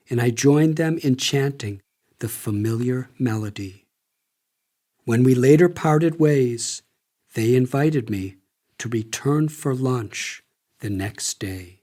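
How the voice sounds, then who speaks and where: Slow, reflective older man narrating. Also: mid-range voice, dark tone. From United States. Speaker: author reading his memoir. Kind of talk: audiobook narration. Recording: light music under the voice.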